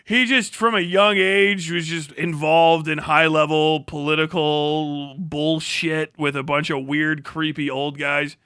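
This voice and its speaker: A man's voice: a little high, level tone, a little slow, hardly any pauses, bright, forceful tone, smooth, no echo, studio quality. A man live streaming profane political commentary, mocking and sarcastic, swearing heavily in a combative way. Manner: heavy swearing. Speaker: live streamer reacting to a video.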